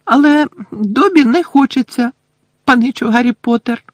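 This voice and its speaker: A reader voicing a small, anxious, servile male house-elf who talks about himself in the third person, in a high piping voice. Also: moderate pace, no room sound. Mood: eager. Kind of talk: audiobook character voice.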